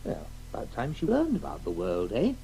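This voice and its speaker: A man's voice: mid-range voice, huge pitch swings, fairly quick, gravelly, dark tone, soft background music, poor audio. An actor playing a hearty, middle-aged English father making small talk in a radio drama. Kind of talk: 1980s radio play acting.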